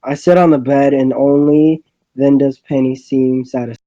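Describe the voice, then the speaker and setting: A man reading a first-person visual novel story aloud, haltingly and with stumbles over the words. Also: medium pitch, flat delivery, warm tone, lo-fi sound.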